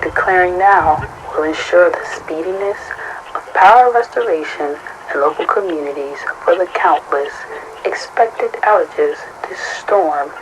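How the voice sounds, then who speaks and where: A woman playing a formal, measured news anchor giving a bulletin over the radio in an audio drama. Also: fairly low pitch. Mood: formal, serious. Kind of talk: radio newscast. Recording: noisy radio broadcast sound.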